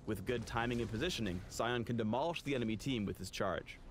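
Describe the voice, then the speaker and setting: A man's voice: mid-range voice, quick pace, over music. A man narrating a scripted game guide in a polished, instructive way.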